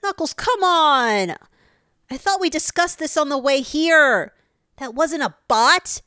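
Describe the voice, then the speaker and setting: A clever, worried young male fox with a high, expressive voice, voiced by a woman. The sound is dry and close to the mic. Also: slow pace, no echo, exaggerated voice. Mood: earnest. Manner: earnest, concerned.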